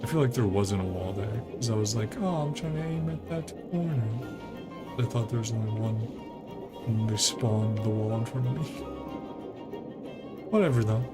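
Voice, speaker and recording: A man giving casual, rambling gameplay commentary as a streamer, thinking aloud as he plays, with a creaky voice. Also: fairly low pitch, unhurried, long pauses. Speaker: video game streamer. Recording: game music behind the voice. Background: video game music.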